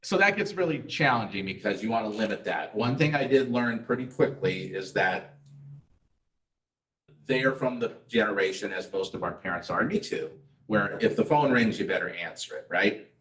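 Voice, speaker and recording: A middle-aged man with a lowish voice leads a relaxed community class. He chats through practical advice and personal stories, often checking in with a quick "right?". His voice sounds roomy and a little distant from the microphone. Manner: conversational, tells personal stories, asks rhetorical "right?". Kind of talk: casual educational talk. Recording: roomy echo, voice off-mic.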